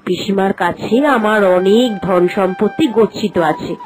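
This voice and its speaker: A woman's voice: medium pitch, moderate pace, lightly acted. A woman acting a sensible elder village housewife, music behind. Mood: firm, encouraging. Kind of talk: Bengali animated fairy-tale voice acting.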